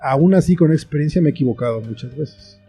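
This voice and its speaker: A man's voice: a little high, a little fast, warm tone, breathy edge, faint music behind. A man with a Mexican accent giving reflective, explanatory answers as an interview guest in a conversational style. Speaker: interview guest, screenwriter and author.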